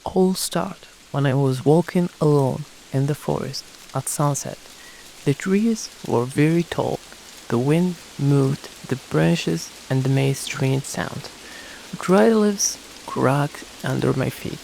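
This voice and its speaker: Slow, gravelly horror narrator. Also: medium pitch, dark tone, a little hiss or hum, low-quality recording. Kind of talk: scripted first-person horror narration.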